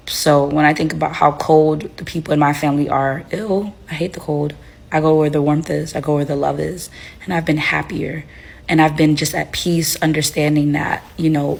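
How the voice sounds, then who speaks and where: A young life coach from Haiti speaks in a low, gravelly voice that stays fairly flat. She sounds tired and under the weather with a headache, and gives reflective, unhurried advice full of fillers and restarts. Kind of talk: casual talking-to-camera advice.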